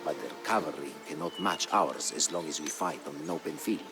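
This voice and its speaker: An actor playing an aged, solemn chronicler of military campaigns, narrating a game storyline in a grave, measured way. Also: male voice, very deep voice, music in the background. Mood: determined. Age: older. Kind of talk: dramatic scripted storyline narration.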